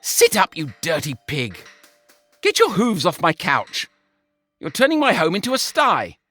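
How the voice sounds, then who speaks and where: A man's voice: high voice, huge pitch swings, a little slow, bright, forceful tone, soft background music, voice pushed up, exaggerated voice. A man voicing a dry, curt male pig financier who is fussy about germs. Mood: scolding.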